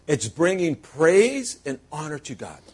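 A man preaching in short, punchy phrases and stopping after each one for an interpreter. His voice sits a bit high for a man, has a husky edge and swings widely in pitch. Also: very animated, a little slow. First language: English. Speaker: guest preacher. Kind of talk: sermon with live interpreter.

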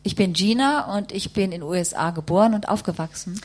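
A woman's voice: medium pitch, fairly quick, darkish tone. A woman working as a live interpreter, rendering a testimony phrase by phrase and rewording as she goes.

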